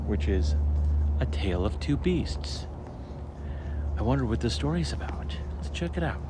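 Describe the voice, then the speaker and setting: A man reading a picture book aloud to children in an animated, theatrical way with deliberate phrasing, as a storyteller. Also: mid-range voice. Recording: steady background noise.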